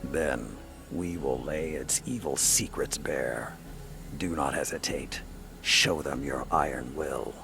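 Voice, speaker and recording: An actor playing a stern old war commander in a video game, with a gravelly voice and a grave, authoritative delivery. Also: male voice, a bass voice, slow pace, full character voice. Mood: urgent, commanding. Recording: loud music bed behind voice.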